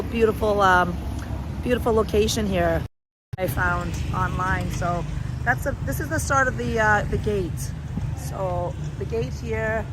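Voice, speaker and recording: Hoarse woman vlogging on a street. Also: fairly high pitch. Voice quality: raspy. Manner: chatty, rambling, greets viewers. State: hoarse from a sore throat. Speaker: travel vlogger. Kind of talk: live-stream walking vlog. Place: outdoors on a town street at night. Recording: noisy outdoor phone stream. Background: street and traffic noise.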